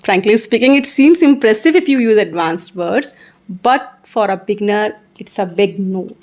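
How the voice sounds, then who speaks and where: A woman's voice: mid-range voice, animated, moderate pace, a little mellow. A woman in her thirties, an English tutor with an Indian English accent, earnestly answering interview questions with advice. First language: Hindi. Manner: earnest, encouraging, repeats points.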